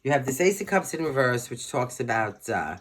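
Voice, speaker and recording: A tarot reader from Brooklyn, nearly out of voice and hoarse, chatting informally straight to the viewer during a card reading. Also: mid-range voice, big pitch swings, brisk. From Brooklyn, New York. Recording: loud background noise with clicks.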